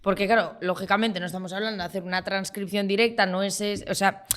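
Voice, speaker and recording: A woman hosts a relaxed interview. She asks long, winding questions and keeps the talk going with short, supportive replies, at a middle pitch. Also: quick pace. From Spain. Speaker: interviewer, host. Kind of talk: conversational interview.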